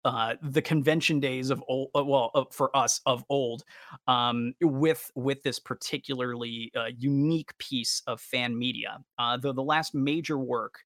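A man co-hosting a casual fan podcast, talking in a halting but enthusiastic way. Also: medium pitch, steady tone, a bit bright, no room sound, clean studio sound. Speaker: podcast co-host.

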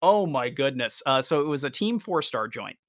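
A man co-hosting a casual podcast discussion, talking in a wordy, halting, enthusiastic way with frequent stammers. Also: mid-range voice, expressive, no room sound, clean studio sound. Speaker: podcast co-host.

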